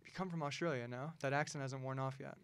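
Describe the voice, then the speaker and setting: Man, podcast host, casual rambling chat, medium pitch, small studio. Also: fast talker, clean tone, no room sound. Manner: relaxed, rambling, laughs often.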